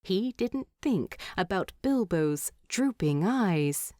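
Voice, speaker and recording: A woman reads a story as its narrator. Her delivery is slow and expressive, with plenty of movement in pitch. The recording is very dry, with no audible room. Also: no room sound.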